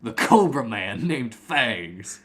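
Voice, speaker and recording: A man's voice: medium pitch, very animated, takes it slowly, slight background noise. A man playing a seasoned, wary desert nomad in a story reading, giving matter-of-fact advice. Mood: businesslike.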